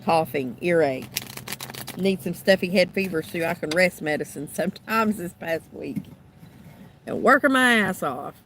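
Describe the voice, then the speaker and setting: A woman doing a tarot card reading, thinking aloud in musing, trailing phrases, her voice a little rough and raspy from being sick. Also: lowish voice, lively intonation, moderate pace, fairly bright tone, a little hiss or hum. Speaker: tarot reader.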